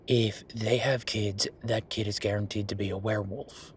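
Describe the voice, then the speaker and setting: A man's voice: fairly low pitch, steady tone, normal speed, a little hiss or hum, natural voice. A man playing an angry, bitter werewolf boyfriend who vents with sweary sarcasm in a dramatic audio roleplay monologue. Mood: exasperated. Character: angry, exasperated male werewolf boyfriend, bitter office worker.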